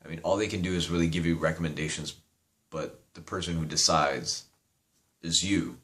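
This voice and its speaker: A young man with a very low voice and a slight Persian accent, chatting loosely through a product review. He rambles, circles back, and drops casual swear words. The tone is informal, like an amateur video review. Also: moderate pace, a bit bright, a little hiss or hum. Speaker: vape reviewer.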